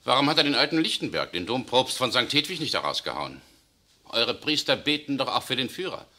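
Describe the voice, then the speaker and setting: A man acting in a 1960s radio play as a tense German SS officer who secretly resists, speaking urgently, swinging between outbursts and hushed confession. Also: mid-range voice, very animated, a little fast, exaggerated voice. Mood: indignant. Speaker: radio drama actor.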